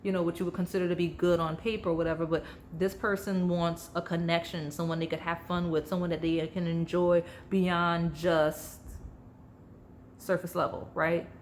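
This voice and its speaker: Chatty, slightly hoarse tarot-reading woman. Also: lowish voice, level tone, moderate pace, a little hiss or hum. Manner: chatty, rambling, heavy use of "like", addresses viewers directly. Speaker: tarot reader. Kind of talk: casual pick-a-card tarot reading to camera.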